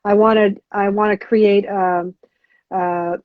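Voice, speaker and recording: A middle-aged woman answering as a guest on a podcast interview, talking haltingly with many restarts and fillers. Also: mid-range voice, little pitch movement, dry recording. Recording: dull lo-fi sound.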